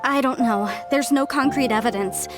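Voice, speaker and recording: A woman's voice: sits high, normal speed, lightly acted. An actress playing an earnest young woman archaeologist who speaks sincerely and turns indignant when challenged. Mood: explaining. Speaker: game character. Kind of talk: video game cutscene acting. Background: game soundtrack music.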